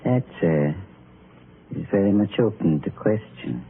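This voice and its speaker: A courteous, mysterious old-time radio shopkeeper, speaks in a deep voice that swoops with sly intent. His formal, insinuating lines come through a thin, noisy vintage broadcast. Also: lively intonation, unhurried, vocal fry, exaggerated voice. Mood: courteous, intriguing. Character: courteous, sly, mysterious male pawnshop keeper. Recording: noisy, narrow-band old radio recording.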